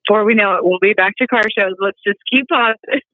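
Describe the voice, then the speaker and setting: A woman, a model, who is a guest on a live interview and is upbeat and quick to laugh. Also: medium pitch, dry recording. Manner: upbeat, giggly. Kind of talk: phone interview. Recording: tinny phone line.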